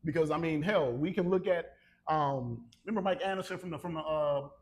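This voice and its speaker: Male American co-host, slightly high register, animated and teasing, informal storytelling between friends. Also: a little fast. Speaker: podcast co-host. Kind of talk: casual conversation between friends.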